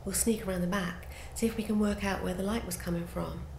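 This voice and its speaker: A woman's voice: medium pitch, steady tone, a little fast, vocal fry, a little mellow, natural voice. A woman voicing a bold young boy who speaks in a level, matter-of-fact way. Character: steady, bold young boy, brave leader. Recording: roomy with background noise.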